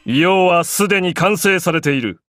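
An actor playing an arrogant, grandiose young pharaoh in an anime game, declaiming in an imperious, pompous way. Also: male voice, sits high, expressive, normal speed, fairly bright tone, faint music behind. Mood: smug, regal.

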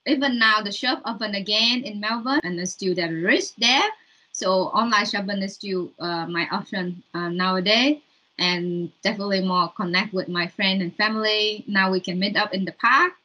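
Young woman, Vietnamese accent, bright voice, casual video-call interviewee. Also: mid-range voice, moderate pace, poor audio. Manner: chatty, with fillers and non-native grammar. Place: room at home, indoors.

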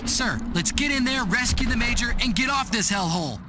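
Loyal crewman, high voice, urgent, brisk, military briefing, video game. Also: animated, over loud music. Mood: urgent, determined. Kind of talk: video game cutscene acting.

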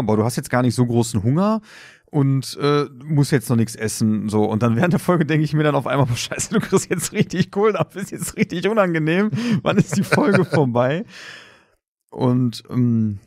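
A man's voice: on the high side, expressive, normal speed, a little mellow, dry recording, clean studio sound. A man co-hosting a podcast in casual chat, talking informally like an enthusiastic fan. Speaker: podcast co-host.

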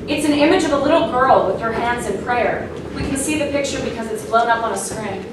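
A woman reads scripted narration in a fairly high voice. She is picked up from a distance in a small, strongly echoing room, with clear noise. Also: brisk. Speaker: narrator reading stage directions. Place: small performance room with audience, far camera.

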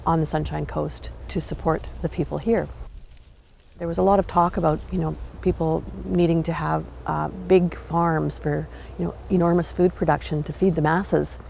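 An older woman, an urban farmer, talks in an earnest, relaxed way with fillers in an outdoor documentary interview. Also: on the low side, vocal fry, a little hiss or hum. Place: outdoors on a farm. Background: guitar music.

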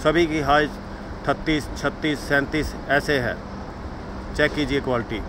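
A man's voice: fairly even intonation, unhurried. A man from Himachal Pradesh showing his goats for sale outdoors at his farm, repeating the same sales lines to viewers he calls friends. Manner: repetitive sales pitch, addresses viewers as friends. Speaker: goat farm seller. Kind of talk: walk-around sales video. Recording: outdoor noise in background.

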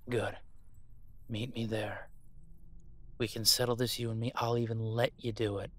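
A man's voice: lowish voice, little pitch movement, moderate pace, lightly acted. A man acting an embattled, protective supernatural fighter in an audio roleplay, urgent and commanding. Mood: urgent, insistent.

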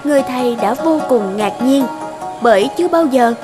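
A woman delivers scripted fairy tale narration. Her voice sits high in pitch, and soft music plays faintly underneath the storytelling. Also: animated, moderate pace.

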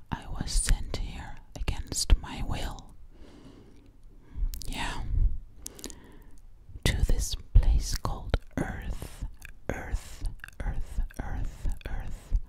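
A young woman playing a gentle, curious alien girl in an ASMR roleplay, whispering breathily very close to the mic and softly repeating her phrases. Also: natural voice. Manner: slow, soothing, repeats words and phrases. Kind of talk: ASMR personal-attention roleplay. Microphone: very close to a large microphone.

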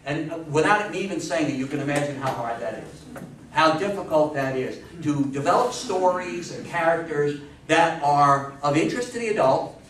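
High-pitched older man lecturing, roomy sound. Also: expressive, normal speed, fairly bright tone. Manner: emphatic storytelling, repeats phrases, asks the audience questions. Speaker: lecturer on old-time radio history. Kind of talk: animated public talk to an audience. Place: meeting room with seated older audience. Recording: roomy, distant camera mic with background noise.

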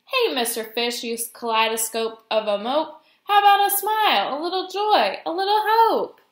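Woman doing a high, squirrely, impolite lady squid. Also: big pitch swings, moderate pace, reverberant. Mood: pushy.

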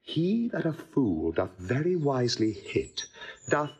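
A melancholy, witty courtier who savors every phrase. He swings through a very wide span of pitch, shifting from mockery to musing. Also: very animated, slow, darkish tone, husky, full character voice. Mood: wry. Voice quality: mocking, relishing, philosophical. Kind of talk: classical verse drama.

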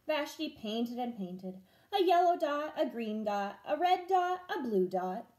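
A young woman reading a picture book aloud to children, deliberate and expressive. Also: high-pitched. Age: young adult. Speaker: teacher reading a story to children. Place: room at home.

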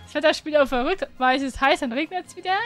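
High-pitched woman, chatty casual game streamer, recap style, music clearly behind. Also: fast. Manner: chatty, informal. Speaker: gaming stream co-host.

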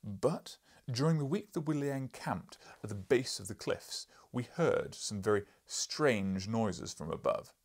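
A man's voice: medium pitch, animated, measured pace, gravelly, hardly any pauses, slightly rough, full character voice. A man voicing an imperious, irascible older professor and explorer in an audiobook, lecturing pompously in a declamatory way. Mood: authoritative. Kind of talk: audiobook character reading.

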